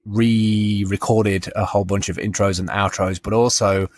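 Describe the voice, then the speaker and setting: A man's voice: on the low side, level tone, normal speed, a little mellow, slight background noise. A British man co-hosting a podcast, chatting loosely and rambling with restarts and frequent laughs. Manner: rambling, self-interrupting, laughs often. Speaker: podcast co-host. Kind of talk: casual chat.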